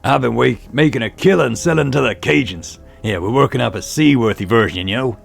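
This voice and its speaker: Man doing a brash gravelly Texan showman. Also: mid-range voice, fairly quick, faint music behind. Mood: boastful. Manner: loud, flirtatious salesman patter. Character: brash boastful Texan gentleman entrepreneur.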